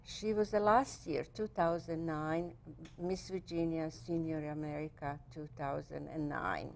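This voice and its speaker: Older woman TV host, low gravelly voice, halting and chatty with lots of fillers. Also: fairly even intonation, slight background noise. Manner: halting, many fillers and restarts, non-native phrasing. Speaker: TV show host. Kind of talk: interview on a cable fashion show.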